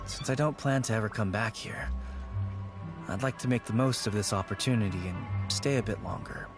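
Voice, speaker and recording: A man's voice: fairly low pitch, moderate pace, over music, natural voice. An actor playing a wistful, grieving man in a fantasy video game, with an earnest, subdued, melancholic manner. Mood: resigned.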